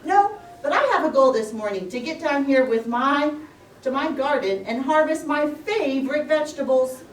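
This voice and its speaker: A boastful, impatient puppet-show hare, acted out by a woman, talks in a brash, scolding way. The voice is high and animated, heard at a distance in an echoey room. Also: normal speed, slight background noise. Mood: brisk. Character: boastful, impatient, fast hare, bossy and competitive. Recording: roomy echo, distant microphone.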